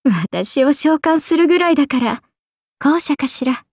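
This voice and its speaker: A woman's voice: animated, unhurried, a little mellow, no echo, studio quality. A woman voicing a playful, teasing serpent-oni goddess for a mobile game, with breezy big-sister airs and a drawling, sake-loving manner. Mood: relaxed, musing. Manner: breezy, drawling, teasing, slipping into low murmurs. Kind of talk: Japanese mobile game character voice lines.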